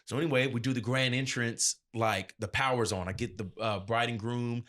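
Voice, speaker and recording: A man's voice: medium pitch, fairly even intonation, moderate pace, fairly bright tone. Male DJ being interviewed, mid-range voice, casual storyteller with emphatic, slangy delivery. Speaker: interview guest, event DJ.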